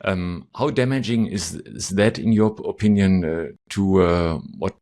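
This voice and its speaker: A man's voice: sits low, a little slow, darkish tone. A man hosting an interview podcast, asking long rambling questions and checking in with "right?". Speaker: podcast host.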